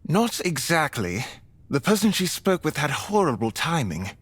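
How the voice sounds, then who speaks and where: A man's voice: fairly high pitch, sing-song, normal speed, a bit bright, slightly rough, dry recording, full character voice. A man playing a genteel, polite vampire mentor in an audio drama, with formal, courteous phrasing. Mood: calm, tactful.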